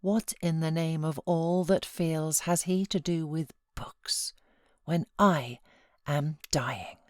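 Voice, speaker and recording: A woman voicing a feverish, self-pitying young married gentlewoman from a classic novel, with dramatic outbursts and anguished pleading. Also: low-pitched, slow pace, no echo, studio quality. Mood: wounded, despairing.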